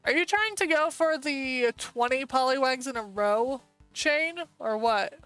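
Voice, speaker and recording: An American woman streaming live, talking in a loose, chatty way as she thinks aloud and tosses in quick asides. Her voice sits a little high and comes across smooth and clear, with faint music playing softly underneath. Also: lively intonation. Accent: American English. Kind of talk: casual live-stream commentary.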